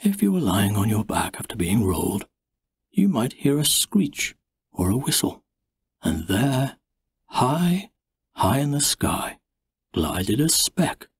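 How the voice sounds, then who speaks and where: Husky male storyteller, slow and swooping. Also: mid-range voice, very animated. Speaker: audiobook narrator. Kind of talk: expressive storybook narration.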